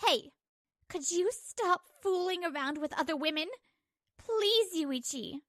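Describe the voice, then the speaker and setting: A woman's voice: extremely high pitch, expressive, a little slow, a bit bright, no echo, clean studio sound, heavily acted. A woman playing a hurt, betrayed young wife who narrates her troubles emotively in a manga dub. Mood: pleading. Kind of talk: dramatised first-person manga dub narration.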